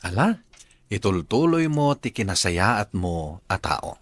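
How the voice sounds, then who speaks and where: A man's voice: fairly low pitch, sing-song, slow, dry recording. A man with a Filipino accent hosting a radio drama, narrating and reading letters from a script.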